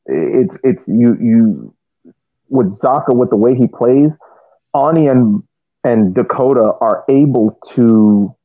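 A man co-hosting a soccer podcast, explaining tactics casually in measured phrases over what sounds like a remote call. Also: on the low side, dry recording. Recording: dry, tinny phone-like line.

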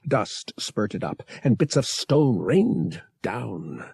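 A man reading an adventure novel as an audiobook narrator, in a measured, deliberate and dramatic storytelling style. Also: medium pitch, sing-song, vocal fry, a little mellow, slightly rough, no room sound, clean studio sound.

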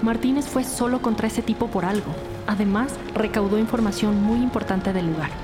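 A woman's voice: mid-range voice, brisk, close to an everyday voice. A woman playing a firm, no-nonsense police detective in a scripted crime audio drama. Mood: serious. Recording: music bed under the voice. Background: dramatic music.

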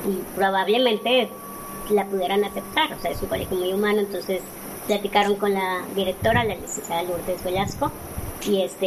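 A Mexican woman in her forties talks as an interview guest, recounting personal experience in a conversational way. Her voice sits at a medium pitch, with clearly audible noisy ambience behind it. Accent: Mexican Spanish. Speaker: interview guest, author and speaker.

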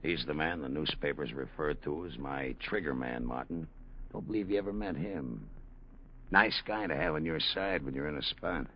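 A man's voice: a bass voice, normal speed, slightly rough, exaggerated voice. An actor playing a menacing, sardonic gangster boss in a 1940s radio crime drama, with slangy, taunting tough-guy talk. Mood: veiled threat. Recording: muffled, noisy old radio recording.

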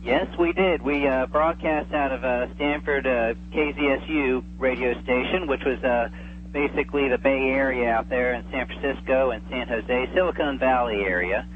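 A man's voice: mid-range voice, steady tone, normal speed. A man chatting casually as an interview guest over the telephone, in a hesitant, stammering manner. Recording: thin phone line with hum.

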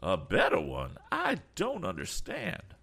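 A man voicing an eccentric, excitable older gentleman from a storybook, full of blustery exclamations and astonished questions. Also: low voice, slow pace, vocal fry, husky, voice pushed up, heavily acted. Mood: puzzled. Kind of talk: audiobook character voice.

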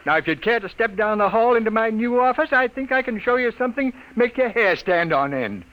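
A man playing a brash, wisecracking newspaper editor in a 1950s radio drama, brisk, cocky and commanding. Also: very high-pitched, lively intonation, muffled recording. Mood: smug, showy. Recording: old radio broadcast recording.

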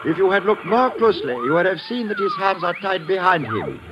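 An actor playing a suave, gloating guerrilla mastermind who speaks with formal, smug politeness in an old radio drama. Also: male voice, high-pitched, very animated. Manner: formal, measured, smugly polite. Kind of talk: 1950s radio drama acting. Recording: muffled, noisy vintage radio recording.

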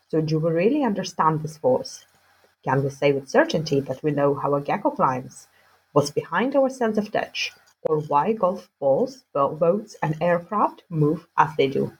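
Young adult woman host, low husky voice, reads her intro quickly with a few slips. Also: low-quality recording. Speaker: podcast host. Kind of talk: scripted introduction then interview questions.

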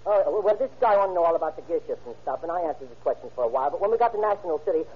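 An actor playing a nervous, rambling, excitable cab driver in an old-time radio crime drama. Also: male voice, fairly high pitch, very fast, slightly rough. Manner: chatty, digressive, flustered. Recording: muffled old radio recording.

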